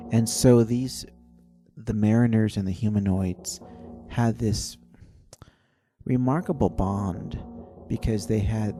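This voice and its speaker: A man with a fairly low voice narrates a dreamlike adventure in the manner of old-time radio. He takes his time, musing in broken phrases with dramatic pauses. Easy-listening instrumental music with guitar plays clearly behind him. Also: warm tone. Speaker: storyteller, narrator.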